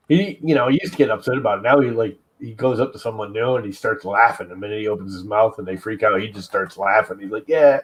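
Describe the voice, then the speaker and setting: A man with a lowish voice, talking loosely on a live stream. He wanders between tinkering and storytelling and swears casually. His tone stays offhand and conversational. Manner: rambling, swears casually, tells stories with mimicry. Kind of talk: casual chat while 3D printing.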